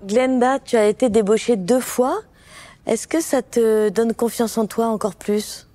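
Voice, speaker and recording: A woman's voice: on the high side, a little slow, mellow. A woman hosting a podcast, putting conversational questions to a guest. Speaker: podcast host, interviewer.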